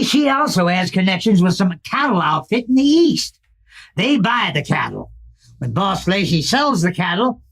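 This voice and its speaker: A woman playing a cocky, knowing male outlaw lieutenant in a Western radio play, folksy and condescending, with an American accent. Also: medium pitch.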